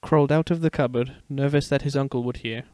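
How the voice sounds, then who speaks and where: A man's voice: medium pitch, steady tone, fast talker, warm tone, no room sound, natural voice. A man voicing a playful, protective young father in a dramatic story reading. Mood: reading aloud. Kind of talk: dramatic fan fiction reading.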